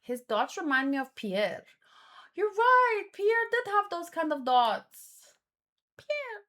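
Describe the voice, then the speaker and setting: A woman hosting a read-along in a casual live commentary style, with expressive, exclamatory reactions. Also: very high voice, takes it slowly. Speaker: host of a manga read-along stream.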